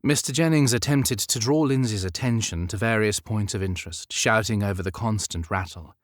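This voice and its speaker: A man reading a historical romance audiobook in a measured, expressive storytelling manner. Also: lowish voice, no echo, studio quality. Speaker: audiobook narrator. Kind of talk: scripted literary narration of a historical romance.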